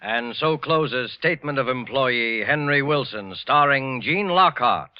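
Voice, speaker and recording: A man announcing a 1940s radio suspense show, formal and deliberate, with theatrical pauses. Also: mid-range voice, lively intonation, soft background music. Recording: dull old radio recording.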